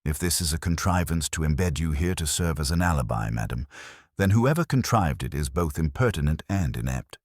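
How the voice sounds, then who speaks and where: An imperious older genius detective, as a male narrator reads him in an audiobook. The voice is extremely low and moves widely in pitch. The diction is pedantic, formal and commanding, and the sound is close and dry. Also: expressive, brisk, darkish tone, no echo. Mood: coldly affronted.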